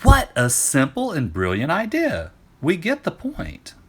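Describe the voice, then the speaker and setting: Excitable, teasing ancient Greek pupils all played by one man. The voice jumps up high above his usual talk in an exaggerated singsong, easily impressed, as in a storybook reading. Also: a little slow, slightly rough, full character voice. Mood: agreeable.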